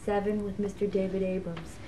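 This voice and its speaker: A woman's voice: mid-range voice, flat delivery, a little fast, dark tone, rough recording. A woman interviewer asks short, direct questions in a mid-range voice. A faint hiss of background noise sits under the recording.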